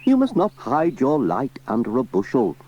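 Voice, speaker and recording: Man playing a high-pitched, gushing old country vicar. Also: wildly swooping pitch, normal speed, a little mellow. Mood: gushing, encouraging. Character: elderly village vicar, kindly, gushing and pious. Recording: muffled, hissy cassette transfer.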